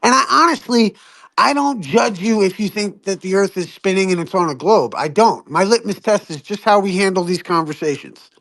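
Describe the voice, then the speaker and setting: A man's voice: high-pitched, animated, normal speed, fairly bright tone, rough recording. A man debating casually on an online call, rambling, swearing and poking fun at himself. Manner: rambling, profane, self-deprecating, laughs often. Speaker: flat-earth debater. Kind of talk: heated online debate chat.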